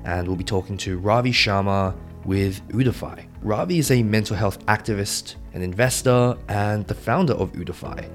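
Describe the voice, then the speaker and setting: Podcast host, male, lower pitch, upbeat and friendly, light music bed. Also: moderate pace, few pauses. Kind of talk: podcast interview.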